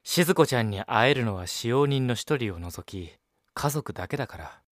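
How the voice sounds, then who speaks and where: A male actor plays an attentive young waiter in a radio play. He speaks with polite deference and expressive intonation in a mid-range voice. The recording is very dry. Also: mid-range voice, normal speed, no echo, natural voice. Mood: realizing. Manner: polite, deferential customer-service speech. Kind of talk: Japanese audio drama acting.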